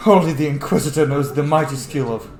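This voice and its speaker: Guy streaming a game, highish voice, sweary and playful, roomy mic, some music behind. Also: quick pace, darkish tone. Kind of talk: casual let's play commentary.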